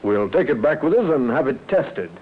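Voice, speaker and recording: A man's voice: mid-range voice, very animated, moderate pace, over loud music, close to an everyday voice. Man playing a clipped, probing police inspector, tinny radio sound. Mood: firm, official. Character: brisk, authoritative male police inspector from Scotland Yard. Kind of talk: 1950s-style radio drama acting. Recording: thin, narrow-band vintage radio recording.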